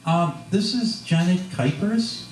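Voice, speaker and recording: Man over loud guitar, echoey room. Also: sits high, expressive, unhurried. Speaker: open mic co-host and musician. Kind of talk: casual stage chat and announcements. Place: small bar venue stage at an open mic. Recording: echoey live stage, distant mic, loud guitar. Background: loud plucked guitar.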